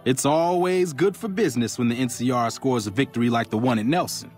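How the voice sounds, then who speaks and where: An actor playing a brash, opinionated gun company guard in a video game. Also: male voice, mid-range voice, brisk, darkish tone, faint music behind, natural voice. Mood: brisk, impressed. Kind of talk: video game character barks.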